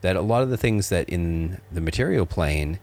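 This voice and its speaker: Low-voiced middle-aged man chatting casually. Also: animated, moderate pace, a crowd in the background. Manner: rambling, full of fillers and restarts. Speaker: podcast co-host, father. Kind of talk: casual podcast chat.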